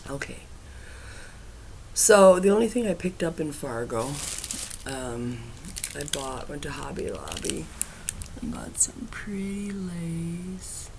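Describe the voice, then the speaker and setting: A middle-aged woman chatting casually to her viewers, hesitant with many ums and trailing off quietly, her throat raspy from a cough. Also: low voice, big pitch swings, slow pace, pauses often, crowd noise. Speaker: cross-stitch vlogger.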